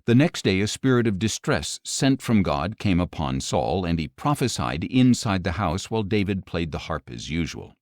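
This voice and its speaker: A man reading scripture as an audiobook narrator, in a measured, expressive storytelling delivery. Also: low-pitched, no echo, clean studio sound.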